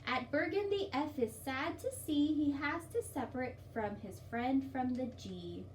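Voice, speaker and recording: A woman's voice: high-pitched, animated, measured pace. A woman hosting story time for young children, reading a picture book aloud with simple, encouraging explanations and questions. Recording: distant mic, faint background noise.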